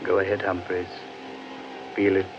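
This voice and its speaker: An actor playing a watchful gentleman who senses evil, in a dramatic, foreboding 1940s radio horror style. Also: deep voice, monotone, normal speed, natural voice. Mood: alarmed. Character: Danvers, watchful gentleman sensing evil in woods. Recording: muffled, noisy old 1940s radio recording.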